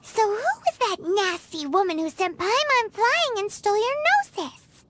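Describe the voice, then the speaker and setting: An actress voicing a tiny, excitable fairy companion girl who chirps and speaks of herself in the third person. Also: very high-pitched, measured pace, slight background noise, exaggerated voice. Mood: curious. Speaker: voice actor in a game dub.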